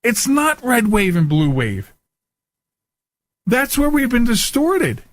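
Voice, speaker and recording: A man's voice: extremely high pitch, huge pitch swings, slow, no echo. An American man hosting a podcast in casual, unscripted talk, earnest and emphatic, often repeating himself as he goes. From United States. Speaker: talk show host.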